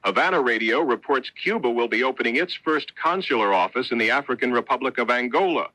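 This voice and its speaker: A man's voice: mid-range voice, expressive, quick pace, sharp tone, no echo, rough recording. An American man reading a scripted 1970s network radio newscast as a reporter. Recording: old 1970s radio broadcast.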